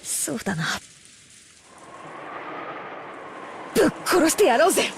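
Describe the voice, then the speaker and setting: An actress playing a furious, grieving teenage tomboy with raw, vengeful delivery in an anime visual novel. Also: female voice, high voice, very animated, rapid-fire, gravelly, slight background noise, heavily acted. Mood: grim vengeful. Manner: fierce, vengeful, emotionally raw.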